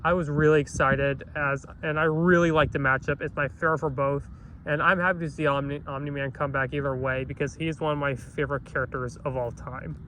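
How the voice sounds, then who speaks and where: A fairly high-voiced man who sounds nasal and has a cough. He rambles through a casual review, restarting and correcting himself constantly. Street noise and passing vehicles fill the background. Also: fairly even intonation, a little fast, pushed and bright, poor audio.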